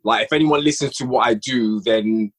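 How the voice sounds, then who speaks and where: A man appearing as a guest on a podcast, speaking about his audio drama work in a laid-back, hedging manner. His voice is slightly husky. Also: medium pitch, normal speed. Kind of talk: casual chat.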